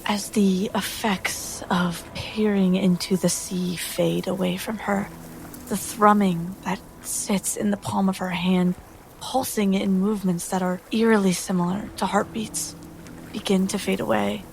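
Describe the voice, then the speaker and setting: A woman playing a tabletop game, narrating her character's scene in a soft, measured storytelling way. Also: medium pitch, little pitch movement, creaky, slight background noise, low-quality recording. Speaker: tabletop roleplay player. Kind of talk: descriptive in-character fantasy narration.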